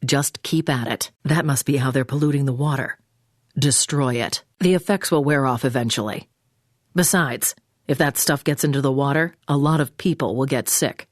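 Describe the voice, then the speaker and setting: Dry-sounding, commanding mission handler. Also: female voice, rumbling low, dry recording. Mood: firm, instructive. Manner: brisk, directive, businesslike. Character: commanding female intelligence handler giving field orders, businesslike and controlling. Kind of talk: scripted video game voice acting.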